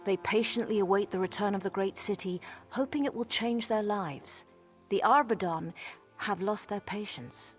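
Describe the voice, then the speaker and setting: A woman's voice: medium pitch, moderate pace, natural voice. Woman playing a wry field mage over music. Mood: weary, concerned. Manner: wry, exasperated, articulate explaining. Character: exasperated, wry female field mage and scholar. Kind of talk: conversational video game dialogue.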